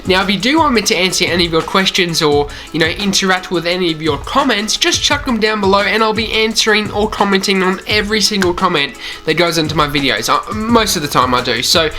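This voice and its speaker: A man's voice: high-pitched, lively intonation, fairly quick, pushed and bright, faint music behind. A man from Australia with a mild Australian accent, hosting a gaming channel and chatting loosely over gameplay with lots of fillers. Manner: chatty and rambling, with many "you know" fillers. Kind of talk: casual commentary over gameplay.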